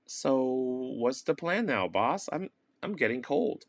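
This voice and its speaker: A man's voice: mid-range voice, sing-song, slow pace, no room sound, pitched above the performer's own voice, full character voice. A man voicing a talking body part, an anxious and sarcastic ear in a comic stage play. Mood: resigned. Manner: nervous, sarcastic. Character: talking severed ear, nervous, pleading, sarcastic.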